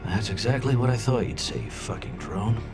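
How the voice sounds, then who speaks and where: A man's voice: lowish voice, expressive, moderate pace. An actor playing a scheming, manipulative male senator with a persuasive, insinuating delivery in a video game cutscene. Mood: bitter. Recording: loud music under the voice.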